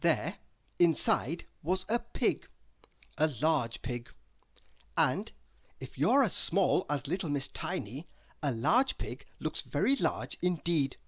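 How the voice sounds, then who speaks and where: A storybook narrator reads for young children with a bright, forward voice. The delivery is unhurried and expressive, with pitch that moves around in a lively way. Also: breathy edge. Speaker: storybook reader. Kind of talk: children's read-aloud narration.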